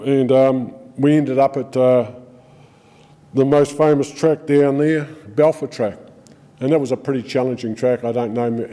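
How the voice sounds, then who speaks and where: A middle-aged man with a New Zealand accent tells rambling, good-humoured stories at a funeral, pausing on ums and restarting his sentences. His voice is warm and dark. The sound carries a long reverb from a large hall. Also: medium pitch. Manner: chatty storytelling with frequent ums and restarts. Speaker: friend giving a eulogy. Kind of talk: informal eulogy speech.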